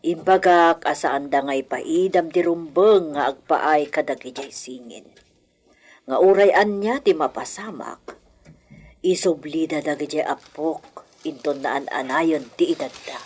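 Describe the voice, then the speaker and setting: An actress playing an elderly, dignified hacienda matriarch in a Filipino radio comedy-drama, wistful and solemn, with a Filipino accent. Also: female voice, low voice, unhurried, a little mellow, breathy edge. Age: older.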